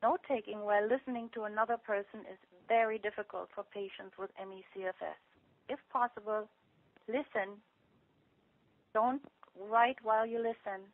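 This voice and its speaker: Female clinician presenter, mid-pitched, measured scripted delivery of practical guidance, heard down a narrow telephone line. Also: fairly even intonation. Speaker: clinician speaker presenting to patients. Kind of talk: prepared talk read aloud, giving practical advice. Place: conference call by telephone. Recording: tinny narrow-band telephone conference line.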